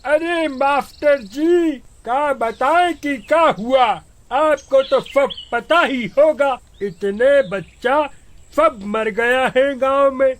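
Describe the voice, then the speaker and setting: A man voicing a rustic villager with a squeaky, lisping voice and a rustic eastern Hindi accent, in an animated story. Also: slow pace. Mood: suspicious. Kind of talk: animated horror-comedy story acting.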